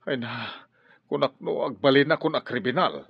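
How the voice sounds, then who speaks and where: A man's voice: medium pitch, normal speed, vocal fry, slightly rough, no echo. A man playing an anxious, well-meaning, troubled man in a radio drama. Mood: worried. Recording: dull, dry studio sound.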